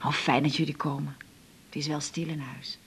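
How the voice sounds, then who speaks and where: An actress from the Netherlands playing a homely, fussing, lonely older mother in a Dutch radio drama, with a husky voice. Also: sits low, level tone, moderate pace, a little hiss or hum. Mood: pleased, wistful. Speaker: actor playing the doctor's mother. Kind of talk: naturalistic radio drama dialogue.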